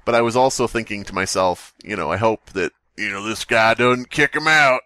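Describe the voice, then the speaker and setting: A man with a fairly low voice, recorded dry and close. He tells casual anecdotes like a podcast host chatting with friends, animated and loose with profanity. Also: normal speed, no room sound. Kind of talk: casual storytelling among friends.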